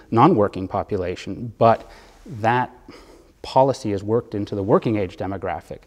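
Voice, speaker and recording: A man being interviewed as a bond fund manager, explaining economics in a measured way with occasional fillers. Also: fairly low pitch, darkish tone, big-room sound.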